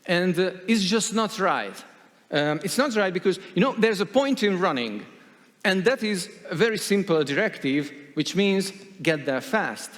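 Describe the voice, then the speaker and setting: A man's voice: sits high, animated, measured pace, a bit bright. Man speaking in a reverberant hall. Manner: hesitant, many fillers and restarts. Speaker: conference speaker. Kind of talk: conference talk.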